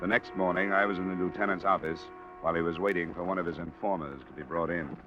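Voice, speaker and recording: A man playing a cool, probing insurance investigator who narrates and questions in a measured, matter-of-fact vintage radio detective style. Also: sits low, steady tone, background noise, lightly acted. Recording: dull vintage radio recording with music.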